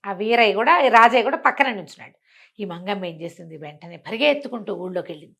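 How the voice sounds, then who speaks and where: A woman storyteller with a medium-pitched voice. She tells a folk tale conversationally, explaining as she goes and putting expression into each turn of the tale. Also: quick pace. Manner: expressive, explanatory, acts out characters' dialogue. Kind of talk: conversational bedtime storytelling to an interviewer.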